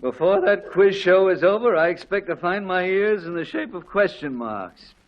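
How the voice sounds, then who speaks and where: A man's voice: sits high, lively intonation, normal speed, slight background noise. Exasperated, swooping, sarcastic father. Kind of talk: 1940s radio comedy acting. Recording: dull old 1940s radio recording.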